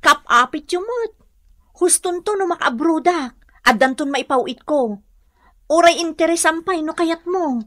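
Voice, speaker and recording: A woman's voice: very high-pitched, expressive, unhurried, a bit bright, no echo, studio quality, exaggerated voice. A woman playing a struggling but determined, hardworking wife in a radio comedy drama, earnest and plaintive, with a Northern Luzon Filipino accent. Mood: pleading.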